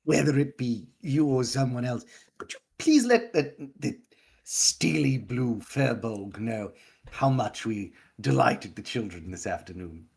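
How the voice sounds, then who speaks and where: Brief: a player as ingratiating game-character showman, gravelly and husky, swooping pitch, effusively polite. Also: animated, unhurried, a little mellow, full character voice. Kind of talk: tabletop roleplay in character.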